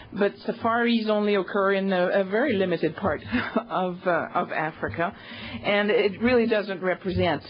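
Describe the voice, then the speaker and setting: Woman lecturer, unhurried and halting, dull lo-fi capture with faint room hiss. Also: medium pitch. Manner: slow, hesitant, many pauses and fillers. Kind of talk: university lecture.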